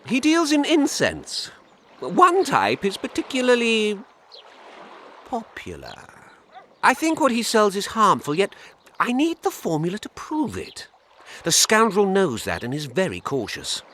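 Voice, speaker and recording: Cautious sly schemer in a fantasy game, higher bright voice, super swoopy, polite. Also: male voice, wildly swooping pitch, unhurried, slight background noise, full character voice. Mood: persuasive. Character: sly, cautious male schemer after a secret formula. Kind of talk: video game voice acting.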